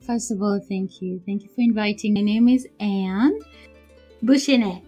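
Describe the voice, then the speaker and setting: A woman's voice: medium pitch, a little slow, mellow, dull audio, soft background music. A woman in her forties from Kenya with a Kenyan accent, chatting as an interview guest and telling her story with frequent laughter. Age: 40s. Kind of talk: casual interview conversation.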